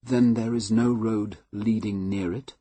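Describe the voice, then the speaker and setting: A man's voice: on the low side, steady tone, a little slow, warm tone, slightly rough, no room sound. A man reading as a cool, refined young lady. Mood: cool, detached. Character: reserved young aristocratic lady.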